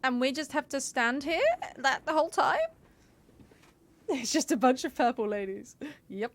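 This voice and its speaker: A woman's voice: sits high, animated, normal speed. A woman doing casual live game commentary, with jokey, informal asides. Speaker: game streamer. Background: game music.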